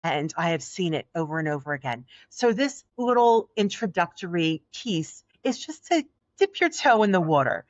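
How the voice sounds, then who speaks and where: A woman's voice: lowish voice, no room sound. A middle-aged woman with a Philadelphia accent and a soothing voice, speaking earnestly and encouragingly as a podcast guest. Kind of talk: podcast interview. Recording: dull, dry lo-fi audio.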